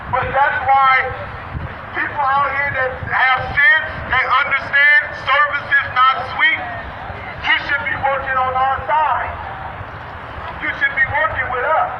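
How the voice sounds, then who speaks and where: High-pitched American man exhorting through a bullhorn. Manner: emphatic, repetitive, exhorting. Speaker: activist speaking to a crowd. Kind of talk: impromptu street rally speech. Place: outdoors on a city street with traffic. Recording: distant bullhorn-like voice, dull, echoey, noisy street.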